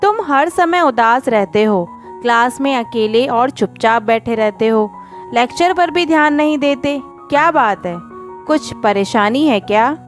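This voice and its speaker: A woman's voice: on the high side, animated, measured pace, faint music behind. A woman voicing a kindly, grave male professor in a moral story, speaking patiently and instructively. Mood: concerned.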